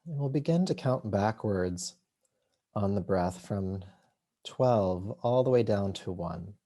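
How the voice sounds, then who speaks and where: Slow, mellow man guiding meditation. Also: lowish voice, expressive. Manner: soothing, unhurried, with long pauses between short phrases. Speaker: yoga nidra teacher. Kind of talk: guided meditation.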